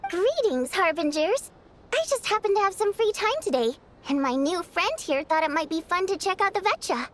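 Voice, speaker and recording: A woman voicing a youthful, earnest and thoughtful female companion in a story game, explaining things in a measured way. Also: extremely high pitch, animated, a little hiss or hum. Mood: polite, friendly. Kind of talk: scripted game story dialogue.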